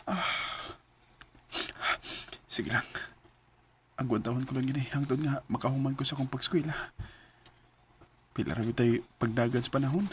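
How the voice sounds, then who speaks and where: An actor playing a young student who stammers through apologies and pleas in a radio drama. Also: male voice, medium pitch, moderate pace, pauses often, slight background noise, lightly acted. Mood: wistful. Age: young adult. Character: young male student, torn between love and duty.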